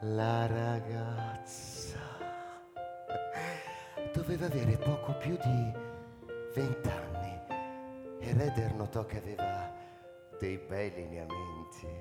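A man's voice: lowish voice, slow, long pauses, long reverb tail. A man reading scripted story narration for radio in a theatrical, dramatic manner. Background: music, clearly heard.

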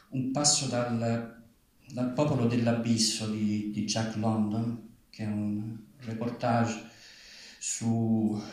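Man, literary talk and reading, unhurried halting delivery, strong room echo, distant mic. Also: medium pitch, darkish tone. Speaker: writer reading at a public event. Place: large reverberant venue, live event. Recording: very echoey hall, distant from microphone.

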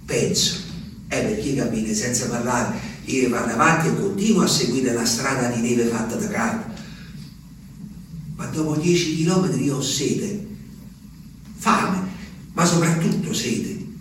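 An actor playing a rustic, agitated peasant in a halting, repetitive stage monologue. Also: male voice, mid-range voice, lightly acted. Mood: weary, quiet. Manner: halting, repetitive, volatile between murmurs and shouts. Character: rustic, agitated Abruzzese peasant man recounting his journey. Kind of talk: theatrical dramatic monologue. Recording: very echoey distant stage recording, faint noise.

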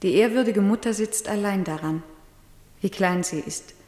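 An actress playing a timid young nun of peasant birth in a radio play, earnest and lyrical. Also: female voice, mid-range voice, moderate pace, mellow, close to an everyday voice. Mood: awed, uneasy. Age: young adult. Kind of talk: German radio play acting. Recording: echoing, reverberant stone hall.

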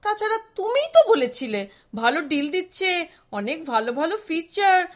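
Actress as a very high-pitched scolding Bengali wife. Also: female voice, very animated, normal speed. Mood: dismayed. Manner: nagging, exclamatory. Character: scolding, incredulous middle-aged Bengali wife, practical and easily alarmed. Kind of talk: audio stage-style comedy drama acting.

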